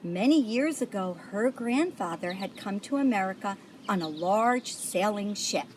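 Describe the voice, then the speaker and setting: Slow, very expressive woman reading to children. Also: medium pitch, a little hiss or hum. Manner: deliberate, pauses to point out pictures. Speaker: volunteer children's story reader. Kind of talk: reading a picture book aloud to children.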